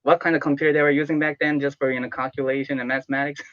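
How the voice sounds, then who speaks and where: A man's voice: a little high, flat delivery, racing, fairly bright tone, dry recording, muffled recording. A man co-hosting a talk show with casual, blunt and wry commentary.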